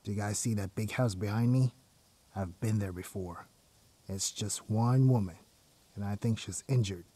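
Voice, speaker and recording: An actor in an audio drama playing a hardened, commanding rebel gang leader, fiercely loyal and ruthless, with a husky voice. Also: male voice, on the low side, normal speed, warm tone. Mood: grim, commanding. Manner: commanding, intense. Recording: music under the voice.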